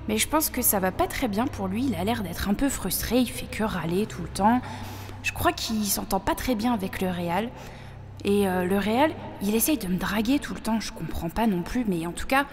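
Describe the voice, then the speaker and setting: Actress as high-voiced young intern, dreamy, reverberant. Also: female voice, darkish tone, close to an everyday voice. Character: weary young female set-design intern, wide-eyed, infatuated, confiding. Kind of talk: confessional dramatic monologue. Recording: long hall reverb under music.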